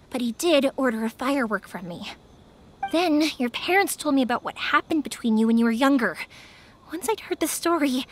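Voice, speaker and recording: A bold young fireworks maker from a video game, with a very high, animated voice. She is stubborn and emphatic, pushing every point with earnest conviction. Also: slight background noise. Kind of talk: video game character acting.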